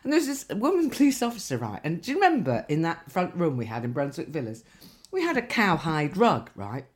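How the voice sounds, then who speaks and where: A woman's voice: deep voice, wildly swooping pitch, fairly quick. A woman, a podcast co-host telling rambling, sweary anecdotes in casual chat, her nose slightly stuffy.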